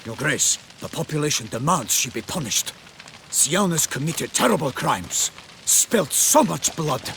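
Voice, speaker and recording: Gravelly, higher-voiced knight. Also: male voice, sing-song, a little slow, a bit bright, slight background noise, heavily acted. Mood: urgent. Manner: formal, deferential. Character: dutiful, cautious knight captain, deferential.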